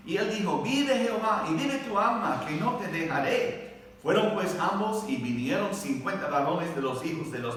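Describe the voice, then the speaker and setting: Male Bible class teacher, non-native with a foreign accent, slow halting delivery, heard in a very echoey room. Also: on the high side, fairly bright tone. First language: not a native Spanish speaker. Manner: halting, searching for words, often checking with listeners. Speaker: Bible teacher at a Baptist church adult class. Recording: very echoey room, distant microphone.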